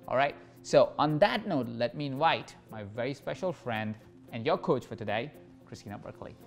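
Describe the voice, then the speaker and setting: A man hosting a coaching masterclass, speaking in a motivational teaching way, encouraging and repetitive, prompting listeners to reflect. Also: medium pitch.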